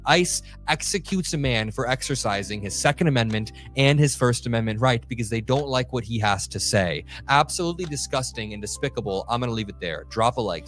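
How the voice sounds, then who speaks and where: A man's voice: mid-range voice, a bit bright. Indignant male political commentator. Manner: indignant and emphatic. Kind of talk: online news commentary.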